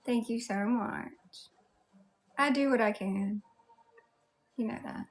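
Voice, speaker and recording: A woman's voice: on the high side, slow. A woman with a Southern US accent hosting a casual livestream, chatting and addressing viewers one by one. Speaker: livestream host.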